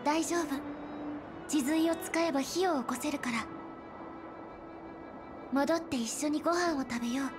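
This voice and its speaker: A woman voicing a calm, low-key young female explorer in a Japanese anime game, plain and matter-of-fact in manner. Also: moderate pace, long pauses, lightly acted. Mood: calm, reassuring. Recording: clean studio voice over game music.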